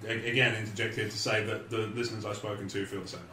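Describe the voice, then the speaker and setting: A man's voice: lowish voice, little pitch movement, racing, sharp tone, slight background noise. A man in casual group banter, throwing in dry, teasing interjections as a podcast co-host. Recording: roomy, distant microphone.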